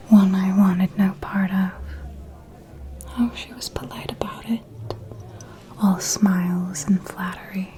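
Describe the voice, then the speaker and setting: A woman playing a refined, shrewd vampire noble who gossips in an elegant, deliberate manner in a whispered ASMR roleplay. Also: medium pitch, natural voice. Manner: slow, deliberate, elegant, hushed insinuation.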